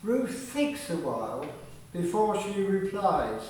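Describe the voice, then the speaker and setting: A man reads radio play narration at a slow, measured pace. His voice is slightly high for a man. It is recorded in a very echoey space with faint background noise. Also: big pitch swings, a little mellow. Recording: very echoey, distant, faintly noisy room.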